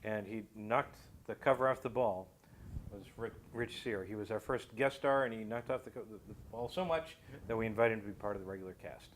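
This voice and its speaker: Male guest, lowish voice, explanatory and measured, slightly formal, faint noise behind. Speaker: guest, audio drama creator. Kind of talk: explanatory interview talk.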